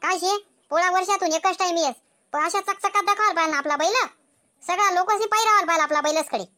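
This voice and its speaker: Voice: very high voice, fast, sharp tone. A performer voicing a proud, bossy village mother in a comedy cartoon, giving insistent instructions in an Ahirani accent.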